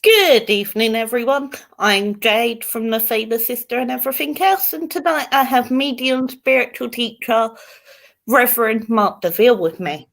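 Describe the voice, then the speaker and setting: A woman's voice: on the high side, normal speed, vocal fry, fairly bright tone. An English woman hosting a casual live stream, chatting with viewers by name and giving card readings. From England. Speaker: host and card reader.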